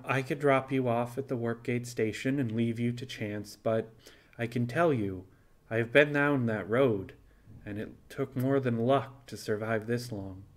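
A man reads as a kindly animal captain of a space pirate crew in an audiobook. The delivery is measured and frank, persuasive yet reassuring, at a medium pitch. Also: lightly acted.

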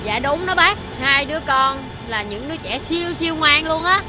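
A woman's voice: high-pitched, lively intonation, moderate pace. A woman voicing an earnest, whiny young pup, a childlike playmate in a children's cartoon. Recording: music behind voice.

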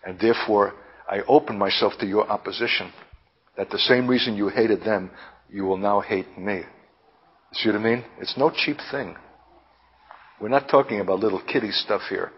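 Male preacher, lowish husky voice, deliberate and emphatic prophetic teaching with lofty vocabulary. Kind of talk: impassioned prophetic sermon, teaching. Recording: dull, lo-fi sermon recording.

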